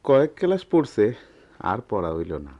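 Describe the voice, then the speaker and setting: An actor playing a gentle, principled middle-aged village goldsmith and father in a radio drama, speaking earnestly in colloquial rural Bangladeshi Bengali. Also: mid-range voice, very animated, moderate pace, no room sound, lo-fi sound, natural voice. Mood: humble, hesitant.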